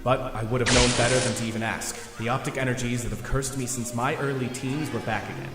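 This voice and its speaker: A man's voice: mid-range voice, fairly even intonation, a little fast, loud music behind the voice, lightly acted. A man playing an earnest, troubled young superhero team leader, commanding and melodramatic, in a fan audio drama. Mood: grim recounting. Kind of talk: fan audio drama acting with first-person narration. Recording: long reverb, faint background noise.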